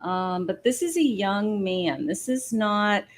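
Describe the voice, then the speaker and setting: Slow, hesitant woman giving psychic readings. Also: mid-range voice, expressive. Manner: slow, hesitant, many ums, thinking aloud. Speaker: psychic medium host. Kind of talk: live-stream psychic reading, casual. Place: home patio.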